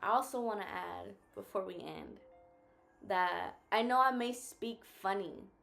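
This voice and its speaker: A woman whose first language is Spanish talks slowly and thoughtfully as a co-host, pausing to search for her words. She sounds tired, and soft music plays faintly behind her. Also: medium pitch, expressive, creaky. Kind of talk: casual reflective chat.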